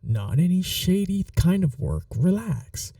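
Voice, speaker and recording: A man playing a calm, flirty, protective mafia boss in a soft-spoken ASMR roleplay, unhurried and reassuring with a teasing edge. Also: high voice, creaky, no echo, clean studio sound, distant mic, heavily acted. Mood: soothing, reassuring.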